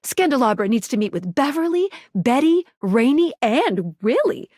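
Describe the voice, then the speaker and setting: An actress voicing a perky, cheeky guide character in a dating video game, with a chirpy, teasing and expressive delivery. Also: female voice, sits high, normal speed. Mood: helpful, apologetic.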